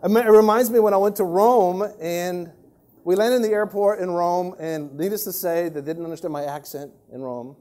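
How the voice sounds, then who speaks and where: High, animated Southern man telling a story. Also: moderate pace. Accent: Southern American. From American South. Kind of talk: humorous anecdote in a sermon.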